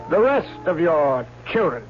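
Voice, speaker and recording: Slow, menacing, vengeful husband. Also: male voice, a little high, very animated, music in the background. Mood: gloating, menacing. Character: cruel, vengeful, humiliated husband gloating over his rival. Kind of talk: old-time radio mystery drama acting. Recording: muffled, faintly noisy vintage radio recording.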